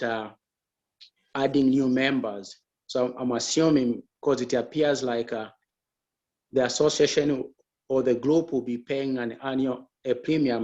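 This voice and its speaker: A man's voice: medium pitch, darkish tone. A man at an association meeting, politely asking a long question with many hesitations. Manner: halting, many fillers, polite. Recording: dull lo-fi call audio.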